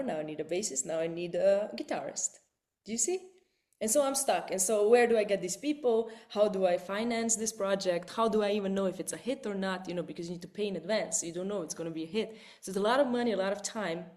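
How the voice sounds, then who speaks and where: A woman leads a live online webinar as a vocal coach. She talks in a lively way, repeats herself and often checks in with "right?" Her voice sits at an ordinary middle pitch. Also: fast. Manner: lively, repeats words, asks listeners "right?".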